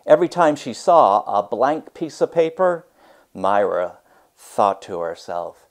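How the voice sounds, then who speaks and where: A man teaching and reading a storybook aloud with deliberate, emphatic, expressive phrasing. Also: fairly low pitch.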